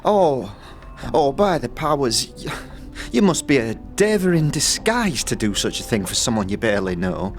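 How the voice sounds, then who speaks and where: A performer voicing a timid, grateful poor wife in a fantasy audio drama, halting and stammering in folksy dialect. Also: mid-range voice, sing-song, soft background music, close to an everyday voice. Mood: relieved, pleading.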